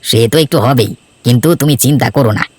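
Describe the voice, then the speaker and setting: Fast, sly scheming trader, acted by a man. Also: mid-range voice, a little mellow, slightly rough, no room sound, close to an everyday voice. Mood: scheming, coaxing. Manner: sly, coaxing, self-assured. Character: scheming, persuasive city trader, a villain. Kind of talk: Bengali cartoon fairy-tale dialogue.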